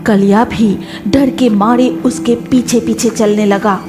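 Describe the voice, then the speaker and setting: A woman's voice: medium pitch, steady tone, measured pace, music in the background. A woman narrating a scripted horror cartoon story.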